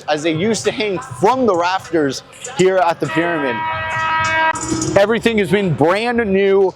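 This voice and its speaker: A man with a fairly high voice hosts a casual walk-around tour. He talks informally, doubling back on his phrases as he goes. Music plays underneath his voice. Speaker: show host and tour guide. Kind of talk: casual on-camera tour and interviewing. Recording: music behind the voice.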